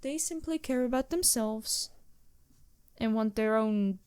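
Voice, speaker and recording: Woman voicing a high-voiced, regal demon princess. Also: darkish tone, clean tone, lightly acted. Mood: solemn, reflective. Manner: formal, measured, slightly stilted phrasing. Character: female demon princess, regal, empathetic, teasing and flirtatious. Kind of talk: ASMR roleplay addressed to the listener.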